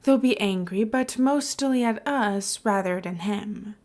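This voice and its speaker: A woman's voice: mid-range voice, a little slow, a little mellow, close to an everyday voice. A woman voicing a glib, scheming male mayor in an audiobook, with a nonchalant, playful and emphatic delivery.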